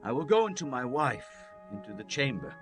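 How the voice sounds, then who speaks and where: A man's voice: medium pitch, sing-song, a little fast, soft background music, natural voice. A man playing a headstrong, proud young strongman in dramatized scripture, declaiming in a commanding way. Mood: defiant.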